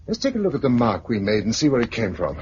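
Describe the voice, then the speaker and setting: An actor playing a pragmatic, level-headed male survivor and narrator in a 1950s radio drama, with a British accent and a measured, clipped delivery. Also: medium pitch, huge pitch swings, a little mellow, husky. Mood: alert, brisk. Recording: muffled, noisy vintage radio recording.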